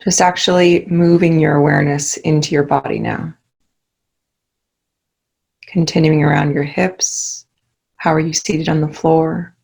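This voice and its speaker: A woman leading a guided meditation, giving calm, soothing instructions with many pauses. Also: sits low, creaky, breathy edge.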